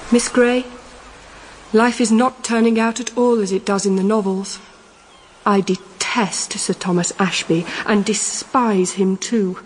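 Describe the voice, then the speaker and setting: A woman's voice: mid-range voice, fairly even intonation, unhurried, a little hiss or hum, natural voice, poor audio. An actress playing a spoilt, petulant young married Victorian lady in a radio drama, haughty, dramatic and complaining. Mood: bitter, petulant. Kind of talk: period radio drama acting.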